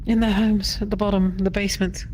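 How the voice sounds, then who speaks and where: A woman playing a grave, polite small-town frontier doctor in an improvised roleplay, speaking in a measured, formal and slightly hesitant way. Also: mid-range voice, little pitch movement, a little mellow, a little hiss or hum, natural voice, rough recording.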